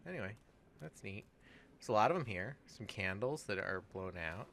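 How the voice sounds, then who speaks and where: Bright-voiced man musing over a game. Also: lowish voice, lively intonation, creaky, slight background noise. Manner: halting, musing, thinks aloud. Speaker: let's play co-host playing the game. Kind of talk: casual game commentary chat.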